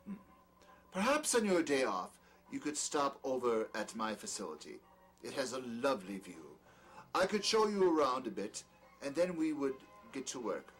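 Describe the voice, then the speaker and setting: A haughty, smooth-talking doctor played by a male actor in an audio drama. His formal, wordy speech swings animatedly in pitch, courteous on top and scornful underneath. Music plays behind him. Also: sing-song, normal speed, natural voice. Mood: smooth, persuasive. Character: peculiar, condescending male doctor, smoothly charming yet scornful. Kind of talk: dramatic dialogue in an audio play or table reading.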